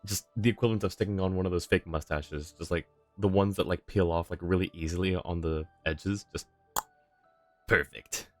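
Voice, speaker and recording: A male game streamer with a low voice, commenting casually on what is happening live. Faint music plays behind him. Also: fairly even intonation, normal speed.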